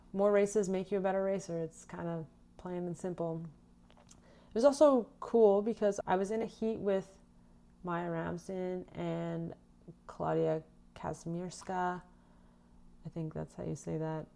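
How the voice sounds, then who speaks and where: A woman's voice: fairly low pitch, vocal fry, mellow. A young woman from Canada, a runner, chatting casually in a vlog and reading out her own race notes. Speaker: runner recapping her racing season.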